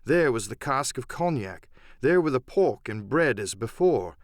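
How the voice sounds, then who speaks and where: A man reading dramatic first-person adventure narration for an audiobook, measured and literary. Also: mid-range voice, animated, no echo, studio quality.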